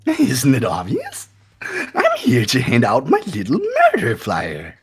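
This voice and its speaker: A man's voice: medium pitch, huge pitch swings, takes it slowly, husky, heavily acted. A man voicing a gleefully taunting villainous toy bear mascot in a fan-voiced game, mocking and theatrical. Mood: mocking glee. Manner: mocking, theatrical, sing-song.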